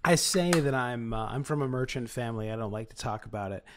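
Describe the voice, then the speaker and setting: Halting male tabletop player, mid-pitched. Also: animated, a bit bright. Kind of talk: casual in-character roleplay.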